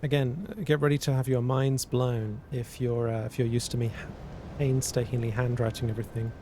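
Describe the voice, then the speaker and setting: A man chats on a live stream, thinking out loud and often repeating himself as he works through math problems. His voice sits at an ordinary middle pitch. A steady low rumble of noise runs under the recording. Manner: thinking aloud, halting, repeats words. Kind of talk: casual live-stream chat, reading chat and working math aloud. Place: cold indoor room.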